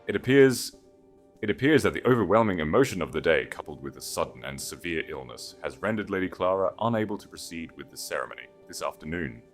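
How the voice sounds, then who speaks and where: A man's voice: low-pitched, very animated, quick pace. Male narrator as a haughty aristocratic bridegroom, formal, projecting, desperate underneath, audiobook romance, music behind. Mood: forced composure. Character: proud aristocratic groom, desperate, masking panic with false composure.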